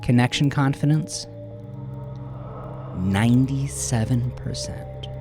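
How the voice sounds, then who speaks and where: A man narrating a first-person dramatic audio story in a confessional, conversational manner with dramatic pauses. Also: mid-range voice. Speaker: narrator of his own horror story. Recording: music behind the voice. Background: background music.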